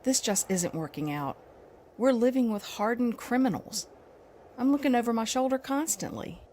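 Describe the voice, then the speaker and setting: A woman's voice: on the high side, expressive, normal speed, darkish tone, a little hiss or hum, lightly acted. A woman playing a worried, insistent survivor's wife in a dramatized comic. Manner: anxious, insistent. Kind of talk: dramatized comic-book voice acting.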